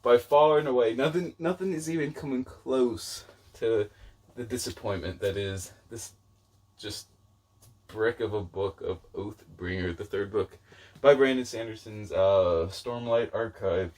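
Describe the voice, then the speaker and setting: A man's voice: fairly low pitch, a little slow, darkish tone, recorded from a distance. A man giving a casual, rambling book-review chat to viewers, informal and self-deprecating in his delivery. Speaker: book vlogger.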